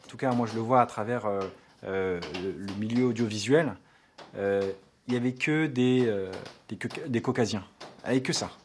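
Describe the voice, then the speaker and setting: A man giving his opinion in an interview, fast and searching for words. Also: fairly low pitch, slight background noise.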